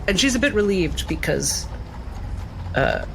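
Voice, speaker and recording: A man's voice: high-pitched, very animated, a little slow, creaky. A man playing a tabletop game, narrating his character's actions in a hesitant, improvised way. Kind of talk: narrating own character's actions in third person. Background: music.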